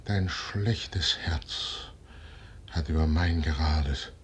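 An actor playing a proud, ambitious older military commander in a declamatory 1950s German radio play style. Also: male voice, extremely low pitch, extremely slow, slight background noise, exaggerated voice. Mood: wounded, grave. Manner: declamatory, theatrical. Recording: 1950s radio drama recording.

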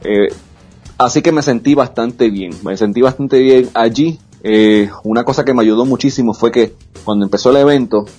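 A man's voice: mid-range voice, normal speed, a little mellow, husky, faint music behind. A man, an esports caster speaking frankly and confidently as a guest in a casual interview. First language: Spanish. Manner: frank, self-assured, with frequent restarts.

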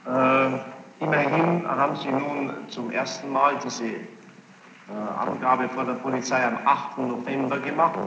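Gravelly man questioning in an echoing hall. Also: mid-range voice, steady tone. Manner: insistent, deliberate, repeats answers back. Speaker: judge questioning a witness in court. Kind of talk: formal courtroom questioning. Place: large echoey courtroom, archive tape. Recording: muffled old tape, echoey hall, hiss.

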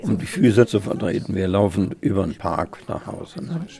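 An actor playing an easygoing, gossipy older husband in naturalistic radio drama, colloquial and fond of tag questions. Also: male voice, deep voice, very animated, normal speed, vocal fry, warm tone, full character voice. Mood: casual. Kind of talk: naturalistic, improvised-sounding radio drama dialogue.

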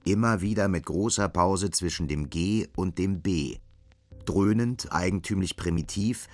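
An actor narrating a dystopian radio drama as a sober storyteller in a measured manner. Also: male voice, low voice, a little mellow, dry recording. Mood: measured, intent. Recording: music behind the narration.

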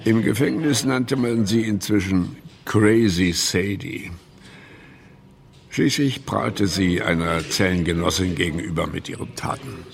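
A man narrates a scripted radio play. His voice is very deep with a gravelly fry. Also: a little slow.

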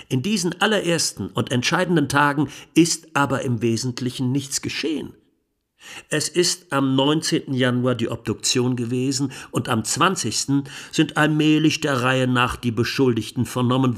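Casting: male actor as accusing 1920s defence lawyer, mid-pitched, measured and emphatic, rhetorical courtroom plea for radio documentary drama. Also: natural voice. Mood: insistent, reproachful. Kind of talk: dramatised courtroom closing speech.

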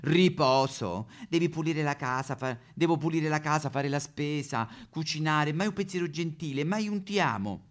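A man's voice: on the high side, moderate pace, few pauses, natural voice. A man playing a needy, sentimental, exasperated wife and mother in a satirical stage sketch.

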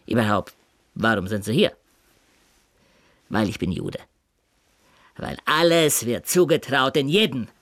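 A man's voice: medium pitch, sing-song, a little slow, long pauses, a bit bright, heavily acted. An actor in a radio drama playing a defensive, aggrieved tailor in his thirties, with a Yiddish accent and inverted word order. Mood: aggrieved pleading. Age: 30s. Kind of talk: German radio drama acting.

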